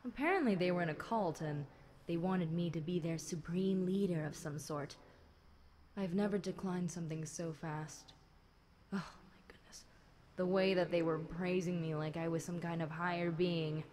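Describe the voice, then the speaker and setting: Woman playing a lower-pitched demon girl, noisy recording. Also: steady tone, a little mellow, lightly acted. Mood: wry, haughty. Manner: conversational, wry, unhurried, dramatic musing. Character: chatty, centuries-old fallen angel demon girl, wryly exasperated. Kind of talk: intimate audio roleplay monologue to a listener. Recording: clear background noise.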